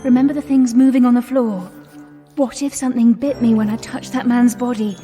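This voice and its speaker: An actress plays a young Englishwoman of the 1920s, high in pitch and anxious, yet resolute. She speaks urgently with an English accent, in radio-drama style. The space rings with long reverb, and music plays underneath. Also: steady tone, fairly quick, dark tone, lightly acted. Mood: tense, fearful. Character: frightened, resolute young Englishwoman, trapped job seeker.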